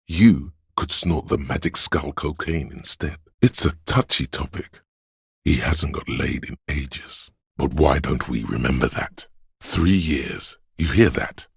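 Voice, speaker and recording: A man voicing the hedonistic inner voice of addiction in a video game, a sleazy tempter in the brain with a gravelly, husky, goading drawl. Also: very deep voice, unhurried, no echo, studio quality, full character voice. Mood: urging, sly.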